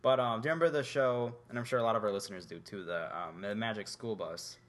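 A man's voice: fairly low pitch, quick pace, fairly bright tone. A young man co-hosting a podcast, chatting casually and rambling with lots of filler words. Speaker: podcast co-host. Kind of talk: casual chat.

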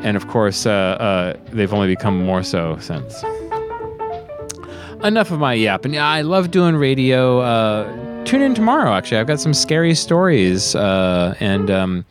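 A man hosting a radio show in casual, unscripted chat, rambling and halting as he talks with enthusiasm. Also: lowish voice, big pitch swings, over music.

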